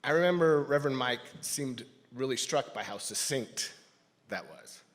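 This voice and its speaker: A man giving a personal, reflective talk to a congregation as a lay speaker, in a thoughtful, halting manner. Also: mid-range voice, expressive, fairly bright tone. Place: large indoor church space. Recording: reverberant hall sound.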